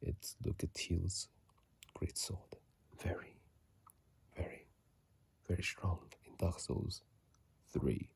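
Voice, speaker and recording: A host delivers ASMR game commentary in a low, dark whisper. The delivery is slow and halting, with words often repeated. The voice is husky and breathy, picked up on a phone microphone. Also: expressive, no echo.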